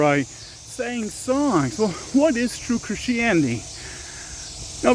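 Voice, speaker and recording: Guy filming himself on a walk at dusk, kinda high voice, lots of restarts, faint crickets in the back. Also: a little hiss or hum. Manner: rambling, with frequent restarts and self-corrections. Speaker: channel host and storyteller. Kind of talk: casual walking vlog talk. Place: outdoors on a walking path at dusk.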